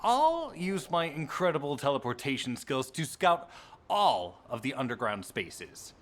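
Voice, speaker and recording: An actor playing a cocky, boastful, prickly young hero in a video game, with a brash, showy delivery. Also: male voice, medium pitch, very animated, unhurried.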